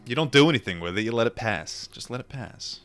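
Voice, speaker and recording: A man's voice: medium pitch, animated, a bit bright, soft background music. A man who reads a book aloud to viewers and chats with them in an informal, musing way. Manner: musing, informal, with pauses and repetitions. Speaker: streamer reading a book aloud and chatting with viewers. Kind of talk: book read-aloud mixed with casual reflective chat.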